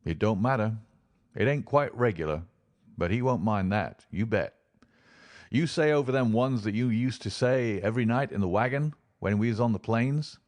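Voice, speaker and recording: Low gentle frontier guy, as a man reading puts him on, folksy grammar, dry close sound. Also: dry recording, natural voice. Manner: rough frontier dialect, tender and slow.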